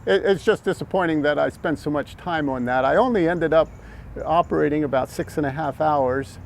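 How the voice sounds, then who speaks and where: A man's voice: on the high side, fairly quick, vocal fry. An older American man talking casually and explaining things in a hesitant, reflective way. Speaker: amateur radio operator and vlog host. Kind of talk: casual explanatory vlog talk. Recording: outdoor background noise.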